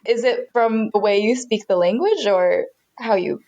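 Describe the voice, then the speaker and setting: Woman, medium pitch, podcast host, friendly, curious, asking questions. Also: a little slow, darkish tone, highs cut off. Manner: friendly and curious, laughs easily. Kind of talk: casual interview questions.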